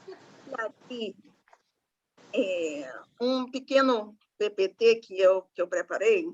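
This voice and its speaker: A woman with a Northeastern Brazilian accent from Maranhão, giving an online talk as a professor and presenting a radio project, pausing often with fillers. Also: mid-range voice, lively intonation, a little mellow, breathy edge, dull audio. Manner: thoughtful, with frequent fillers and pauses. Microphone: video call.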